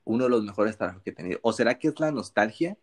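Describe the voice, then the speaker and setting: Low-voiced Sinaloan man, casual podcast. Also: racing. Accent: Sinaloan Mexican Spanish. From Sinaloa, Mexico. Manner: relaxed storytelling, frequent slang fillers. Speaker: podcast co-host. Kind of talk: casual chat.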